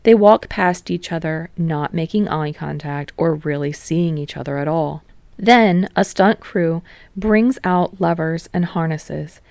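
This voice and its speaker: A woman narrates fiction in an expressive audiobook style. Her voice is on the low side with noticeable fry. The recording is dry, with no room sound. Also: a little slow, no room sound.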